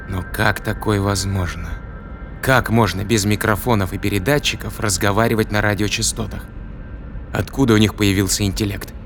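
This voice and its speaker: Gravelly, bewildered spaceman. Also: lowish voice. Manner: incredulous rhetorical questioning. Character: bewildered, exasperated man aboard a sci-fi spaceship. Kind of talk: dramatised audio play acting.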